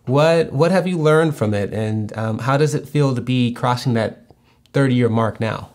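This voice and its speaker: A young man hosting an interview over a video call, asking calm, thoughtful questions from a small room at home. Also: medium pitch, a little slow. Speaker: host. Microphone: video call webcam.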